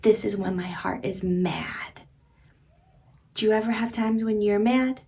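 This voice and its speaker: A woman's voice: medium pitch, measured pace, darkish tone. A woman in her thirties reading a picture book aloud to young children, speaking expressively in simple teacherly phrases and addressing the child directly.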